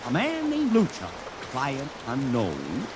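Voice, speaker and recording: A man's voice: mid-range voice, wildly swooping pitch, slow. An actor playing a brash, wheeling-dealing publicity promoter in an old radio drama, talking in salesman patter. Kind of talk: 1940s radio acting. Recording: old radio recording with loud hiss and rain.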